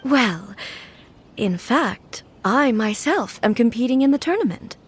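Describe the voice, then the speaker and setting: A woman voicing a calm, dignified goddess who rules a nation in a video game, speaking in a measured, formal way. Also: on the high side, slight background noise. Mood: composed. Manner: measured, stately, gracious. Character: calm, dignified female deity ruler, composed and formal. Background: game music.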